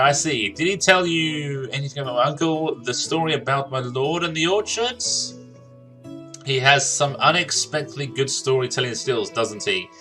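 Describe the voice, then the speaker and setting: A man's voice: mid-range voice, sharp tone. A man doing casual, jokey gaming commentary, talking to himself and reading game dialogue aloud. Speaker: gaming streamer.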